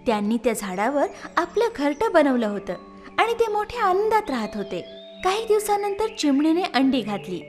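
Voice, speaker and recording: Woman narrator for kids' animated stories, high voice, lively lilting delivery, faint music bed. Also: normal speed. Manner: expressive, lilting storytelling. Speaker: storyteller. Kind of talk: scripted children's story narration.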